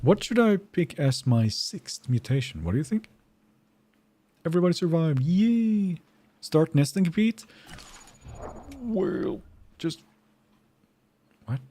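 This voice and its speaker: A man with a Swedish accent, Finnish by first language, chats through a live game stream at an unhurried pace. His voice is mellow and dark in tone, with lively swings in pitch. He muses out loud and replies to viewers as he plays. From Sweden. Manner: thinking aloud, musing and answering chat. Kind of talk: casual live-stream chat while gaming.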